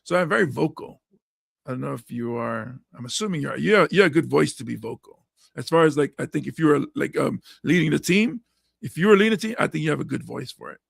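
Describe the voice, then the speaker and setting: A middle-aged man from Hawaii with a hoarse, raspy voice, hosting a podcast chat in a lively way, laughing often and acting out quotes. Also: fairly high pitch, fast, no echo, studio quality. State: hoarse from coaching. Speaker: podcast host and comedian.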